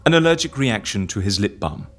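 An actor playing an excitable, scheming man in a comedy audio drama, with a theatrical, musing and breezily unconcerned delivery. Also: on the low side, brisk. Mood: gleeful scheming. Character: excitable scheming man running a death-faking agency.

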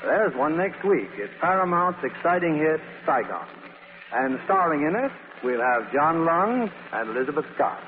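A man's voice: fairly high pitch, very animated, moderate pace. A man hosting a 1940s radio theatre with scripted announcing and chat with stars. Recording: echoing 1940s radio broadcast, narrow band, hiss.

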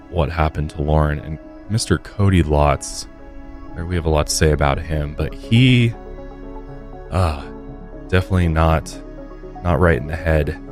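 A man with an American accent hosting a podcast, chatting casually to open the show. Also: extremely low pitch. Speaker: podcast host. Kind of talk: casual podcast intro and chat. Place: podcast studio.

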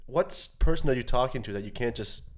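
A man's voice: medium pitch, lively intonation, quick pace. A man co-hosting a podcast in casual comedic banter, with a blunt, grumbling way of talking. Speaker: podcast co-host.

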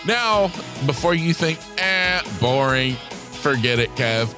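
A man hosting a podcast, speaking with an upbeat, animated delivery. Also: fairly high pitch, slow pace. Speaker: podcast host. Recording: music bed under the voice.

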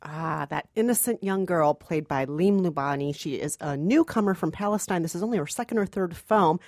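A woman's voice: fairly low pitch, animated, moderate pace, a little mellow, no echo, clean studio sound. A woman co-hosting a movie podcast as a culture producer, conversationally discussing and reviewing films.

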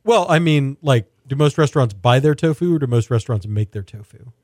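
A man's voice: mid-range voice, lively intonation, fairly quick, a little mellow, no echo. Curious male podcast host, dry mic. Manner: curious, rephrases questions as he goes. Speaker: podcast host, food interviewer. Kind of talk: casual interview questions.

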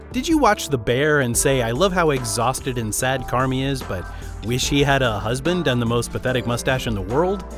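A man delivering scripted, dramatic promotional narration as a podcast announcer. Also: medium pitch.

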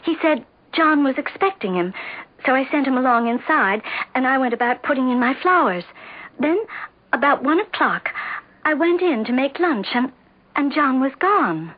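A very high-pitched distraught wife in a radio play. She speaks haltingly, repeating words in her agitation, anxious yet insistent. The sound is dull and dated, like an old broadcast. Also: animated. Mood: anxious recollection. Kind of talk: radio drama acting.

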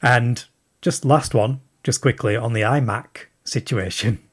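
A man's voice: medium pitch, unhurried, husky. A man sending a recorded question to a show, thinking aloud and rambling with plenty of fillers. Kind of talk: recorded listener message.

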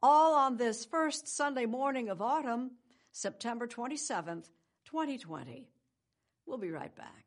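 An older woman anchors a morning news program with measured, polished scripted delivery. Her voice sits fairly high and moves with lively, expressive intonation. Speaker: news show host. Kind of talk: scripted broadcast narration.

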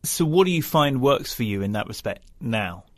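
A man's voice: medium pitch, animated, normal speed, no echo, clean studio sound. A man hosting an interview, asking curious, conversational questions. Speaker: interviewer, host.

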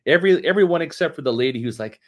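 Animated fifty-something man. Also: a little high, very fast. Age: 50s. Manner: animated, joking, emphatic. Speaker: podcast co-host. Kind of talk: late-night sports podcast chat and host-read ad.

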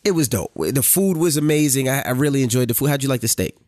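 Man, podcast co-host, casual chat, filler-heavy, mid pitch, dry sound. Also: lively intonation, fast talker, a little mellow, dry recording. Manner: loose and repetitive, many "right" and "I mean" fillers.

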